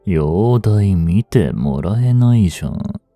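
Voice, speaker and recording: An actor playing a rough, cocky mafia boss with hidden tenderness in an intimate audio drama, gruff and teasing in a drawl. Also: male voice, low voice, animated, slow pace, warm tone, no echo. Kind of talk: intimate Japanese-style dramatic voice acting aimed at women listeners. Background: music.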